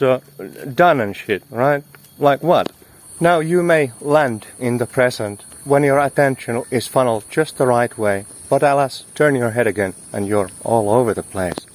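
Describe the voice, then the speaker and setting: A man delivering a reflective spiritual monologue, with flowery, musing phrasing broken by slangy asides. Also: mid-range voice. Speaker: spiritual teacher hosting an audio series. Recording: noisy outdoor recording, wind and vehicle noise.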